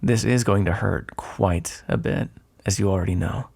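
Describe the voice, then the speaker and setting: A man playing a smug, predatory vampire in an ASMR roleplay, taunting and flirtatious, with a gravelly, breathy voice. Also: low-pitched, moderate pace, a little mellow, heavily acted. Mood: predatory, commanding. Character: predatory, teasing male vampire, smug and seductive.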